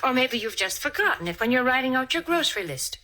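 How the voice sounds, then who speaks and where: A woman announces for a sponsor in 1940s radio style. Her voice is high, bright and animated, with a chirpy, persuasive selling manner. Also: fast. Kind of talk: 1940s radio commercial pitch.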